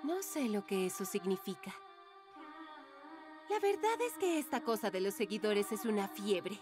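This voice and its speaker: A woman's voice: medium pitch, animated, moderate pace, over music, close to an everyday voice. A woman playing a vain, dramatic young model and internet diva in a cartoon, whiny and self-absorbed. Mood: reflective, smug. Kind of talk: exaggerated cartoon dubbing.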